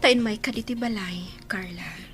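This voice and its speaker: An actress playing a meek, guarded woman with a breathy, hushed voice in an Ilocano radio drama. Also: medium pitch, moderate pace, over music, lightly acted. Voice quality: breathy, near-whispered. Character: Estela, meek, guarded woman reluctantly befriending a rival.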